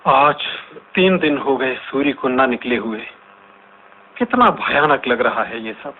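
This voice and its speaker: An actor playing an anguished, frightened man lamenting in a theatrical, halting radio drama style. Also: mid-range voice, expressive, close to an everyday voice. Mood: anguished, fearful. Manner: slow, dramatic, halting delivery. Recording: tinny, band-limited, noisy old radio recording.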